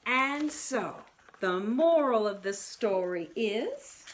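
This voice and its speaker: A woman tells a picture-book story for young children in a high-pitched voice. She goes very slowly, in an animated storytime manner that invites listeners to join in. There is faint noise in the recording. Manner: animated, asks listeners questions, exclaims. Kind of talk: read-aloud picture book for young children with sing-along songs.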